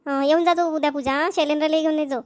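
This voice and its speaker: Woman acting cartoon village mother, high-pitched, rapid, hurried, repetitive, homely, Vidarbha Marathi accent. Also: fairly even intonation, lightly acted. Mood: brisk, insistent. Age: middle-aged. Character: busy village mother rushing to invite neighbours to engagement. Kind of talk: amateur cartoon comedy dialogue.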